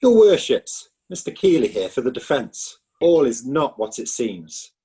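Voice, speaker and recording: A man playing a raspy, animated defence lawyer. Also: mid-range voice, unhurried, full character voice. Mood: persuasive. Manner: persuasive, rhetorical pleading. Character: smooth-talking male defence lawyer. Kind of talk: radio drama acting.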